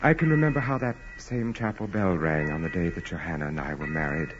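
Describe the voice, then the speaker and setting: An actor playing a wealthy, commanding aristocrat, formal, grave and insistent, in 1940s radio drama style. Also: male voice, sits low, fairly quick, natural voice. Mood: brooding. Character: wealthy aristocratic landowner, commanding, brooding, intense suitor. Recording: muffled, noisy old radio broadcast.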